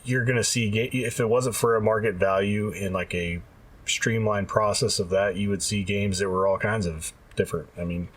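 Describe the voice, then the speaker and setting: A man's voice: fairly low pitch, fairly even intonation, normal speed, husky. A man talking casually as a podcast co-host, sounding a little distant from the microphone.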